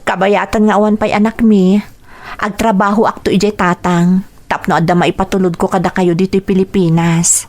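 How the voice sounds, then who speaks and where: A woman's voice: medium pitch, flat delivery, moderate pace, darkish tone, lightly acted. A woman with an Ilocano accent playing a devoted, hopeful grown daughter in a radio drama. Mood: earnest, hopeful.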